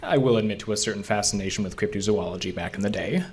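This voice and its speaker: A man's voice: on the low side, fast talker, breathy edge. A man acting the part of a skeptical but curious park ranger in an audio drama, speaking conversationally with some hesitation. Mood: curious, probing. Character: level-headed, skeptical male park ranger with quiet curiosity. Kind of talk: naturalistic audio drama dialogue.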